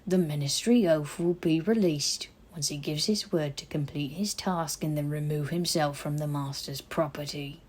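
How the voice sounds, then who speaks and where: A woman's voice: low voice, normal speed, few pauses, darkish tone, slightly rough. Woman voicing a stubborn, glowering old male house elf. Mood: stubborn, unyielding. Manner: stiff, servile third-person broken grammar. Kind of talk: audiobook character voice in a fantasy story.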